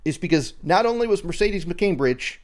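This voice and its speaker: A man's voice: high voice, animated, moderate pace. A man hosting a podcast, recapping true crime in casual, emphatic, slangy conversation. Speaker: podcast host.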